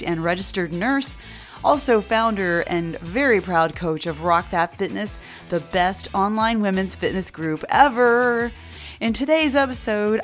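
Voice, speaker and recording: A woman hosting a fitness podcast with effusive, enthusiastic energy, warmly welcoming a guest. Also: medium pitch. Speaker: podcast host and fitness coach.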